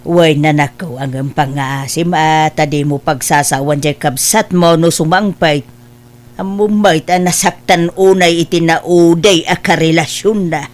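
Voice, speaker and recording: A woman with an Ilocano accent playing a gentle, worried mother of grown children in an audio drama. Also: low-pitched, steady tone, a little slow. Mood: pleading.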